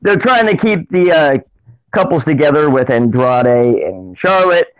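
A man co-hosting a casual wrestling podcast, excitable, laughing often and tripping over his words. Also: on the high side, a little slow, no room sound, low-quality recording. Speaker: podcast co-host.

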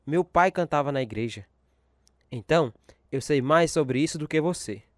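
Male actor as sardonic father, animated voice. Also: a little high, normal speed, no echo, clean studio sound, close to an everyday voice. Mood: scornful. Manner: sardonic, domineering. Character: gruff, scornful father of a grown son. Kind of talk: audio drama dialogue.

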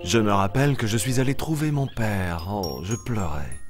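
A man playing a friendly young lion who tells stories to small children in an expressive, simple way on a kids' show. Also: lowish voice, moderate pace. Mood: reminiscing. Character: friendly young lion storyteller, warm and eager. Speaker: storyteller. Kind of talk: children's show dialogue and storytelling. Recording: music bed under the voice.

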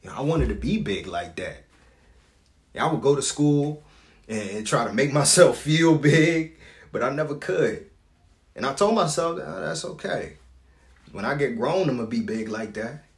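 A man's voice: medium pitch, expressive, normal speed, creaky. A man acting a dramatic stage monologue as a proud, wounded grown son speaking to his father. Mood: fond, rising. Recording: echoey room.

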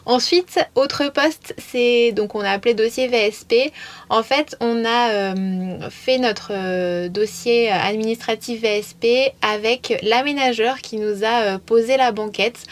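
A woman's voice: on the high side, unhurried. A woman in her thirties casually explaining costs from inside a van, hesitant with frequent fillers. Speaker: vanlife vlogger.

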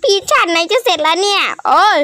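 Very high, bright excitable child's voice. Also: quick pace, no room sound, clean studio sound. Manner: excitable, exclaiming, repeats words. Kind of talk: improvised voicing of characters in a game-animation skit.

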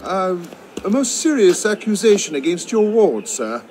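Disapproving old servant from a game, haughty high voice. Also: male voice, big pitch swings, normal speed, a little mellow, a little hiss or hum. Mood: indignant. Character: haughty, disapproving senior manservant. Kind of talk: video game cutscene acting.

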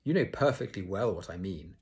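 A man's voice: low voice, lively intonation, fairly quick, a little mellow. A man voicing a cold, threatening male teacher with a clipped, icy delivery.

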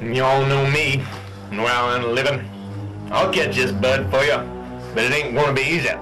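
A man playing a gritty, boastful fisherman in a spoof radio drama, folksy and deliberate. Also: medium pitch, lightly acted, rough recording. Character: gritty, boastful old-salt fisherman for hire. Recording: music behind the voice.

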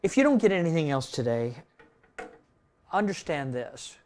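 A man in his sixties preaching a sermon in a church room, conversational, tossing in "right?" and swinging between loud and soft. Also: on the high side, very animated, normal speed. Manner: conversational preaching, tag questions, dynamic swings in loudness. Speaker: pastor. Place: church room with projector screen.

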